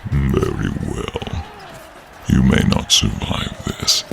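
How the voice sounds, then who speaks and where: Very deep, gravelly giant knight. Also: male voice, noisy background, full character voice. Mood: grim, threatening. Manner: slow, formal, imposing. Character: giant enemy knight commander, courteous but menacing. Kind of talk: fantasy audio role-play acting.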